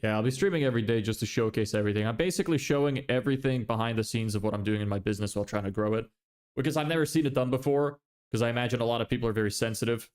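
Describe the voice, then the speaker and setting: A young man from Lithuania who grew up in Ireland, chatting casually on a livestream, relaxed and rambling with many restarts. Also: mid-range voice, very fast, smooth. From Lithuania, raised in Ireland. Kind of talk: casual livestream chat, reading chat.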